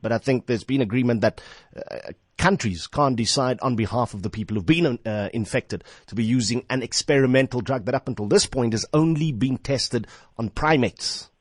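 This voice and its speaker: A South African man presents a casual phone-in show from a dry, close studio. His voice sits at a medium pitch, and he chats with listeners in playful, animated slang. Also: normal speed, no echo. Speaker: radio talk show host. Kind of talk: casual live phone-in radio chat. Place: radio studio.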